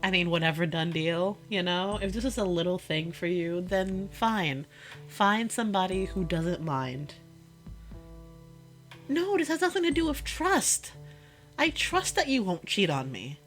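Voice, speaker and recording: A woman performs a wounded, exasperated young girlfriend having it out with her boyfriend in an audio roleplay. Her voice sits on the low side for a woman. She speaks in halting, emphatic bursts, repeating points to drive them home, over light guitar music. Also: animated, fairly bright tone. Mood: angry, bitter. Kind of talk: intimate audio roleplay acting, one-sided conversation.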